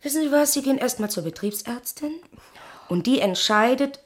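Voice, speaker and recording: An actress playing a condescendingly patient personnel interviewer in a radio play, speaking in a measured, pointed, official manner. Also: female voice, high-pitched, animated. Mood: patient, firm. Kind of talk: naturalistic German radio play acting.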